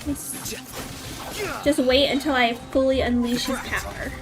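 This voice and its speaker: A woman's voice: sits high, measured pace. A woman streaming a game, chatting casually with viewers and making playful asides. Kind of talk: casual live gameplay chat. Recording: faint game music behind.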